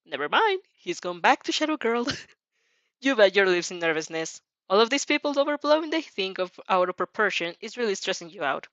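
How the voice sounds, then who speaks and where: Low-pitched woman reading fan fiction aloud. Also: very animated, brisk, fairly bright tone, no echo, dull audio. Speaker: fan fiction reader and reactor. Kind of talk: expressive story narration with casual reactions.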